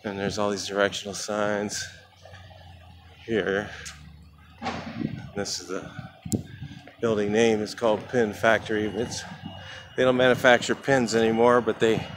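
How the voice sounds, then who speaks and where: A man's voice: lowish voice, flat delivery, unhurried, pauses often. A man who works as a house painter, walking around a building outdoors and thinking aloud in casual commentary, often trailing off mid-thought. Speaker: house painter filming a walkthrough. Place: outdoors beside a large building. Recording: outdoor camera audio with traffic noise.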